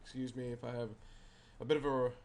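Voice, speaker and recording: A man with a raspy voice who is clearly under the weather hosts a casual card game show. He walks through a deck at a middling pitch, hesitating often with fillers and restarts. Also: level tone, darkish tone, slight background noise. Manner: halting, many fillers and restarts. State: unwell, raspy voice. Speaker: host.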